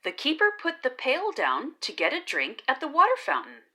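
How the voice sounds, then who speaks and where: Very animated, bright woman reading children's stories. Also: high voice. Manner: deliberate, animated storytelling delivery. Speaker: children's read-aloud host and storyteller. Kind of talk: expressive scripted children's story narration.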